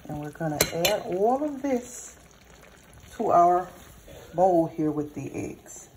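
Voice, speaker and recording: A hoarse woman chatting through a cooking vlog, addressing viewers as y'all and savoring bites with lots of hums. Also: lowish voice, very animated, slow, warm tone, a little hiss or hum, poor audio. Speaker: cooking vlogger. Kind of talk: casual cooking vlog with tasting. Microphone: phone or camera mic, recording alone in a kitchen.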